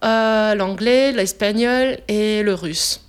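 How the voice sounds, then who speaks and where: A woman in her twenties from Italy, speaking French as a second language with an Italian accent, answering interview questions with many pauses and fillers. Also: medium pitch, clean tone. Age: 20s.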